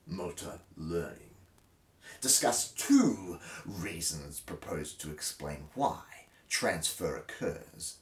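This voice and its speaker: A man reads aloud like a stage performer, slowly and with great melodrama. His pitch swings widely between swelling emphasis and hushed phrases. He sounds set back from the microphone in a roomy space. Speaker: host reading a textbook aloud. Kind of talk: theatrical dramatic reading. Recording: roomy echo, distant microphone.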